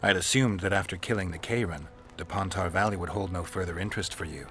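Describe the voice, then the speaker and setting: A stoic male monster hunter for a fantasy game. His voice is very deep, his delivery laconic and dry. He gives little away, with music playing underneath. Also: fast, a bit bright. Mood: blunt. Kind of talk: video game dialogue acting. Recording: music behind the voice.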